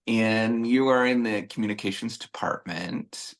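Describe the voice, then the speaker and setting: A man's voice: fairly low pitch, measured pace. A man hosting an interview, asking questions in a loose, rambling, hesitant way. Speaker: host. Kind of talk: casual interview chat.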